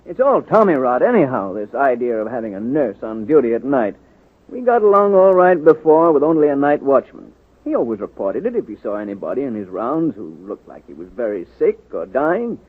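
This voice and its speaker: Gruff callous hospital official, husky, swinging pitch, old tinny radio drama. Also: male voice, big pitch swings, fairly quick. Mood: scornful. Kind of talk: 1940s radio acting.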